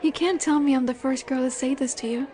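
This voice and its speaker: A woman's voice: high voice, fairly even intonation, warm tone, lightly acted. A woman playing a confident, flirtatious werewolf alpha in a roleplay audio drama, teasing and seductive in her delivery. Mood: coy, teasing. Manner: teasing, seductive, slow and assured. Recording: bar chatter behind the voice. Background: bar ambience with crowd murmur.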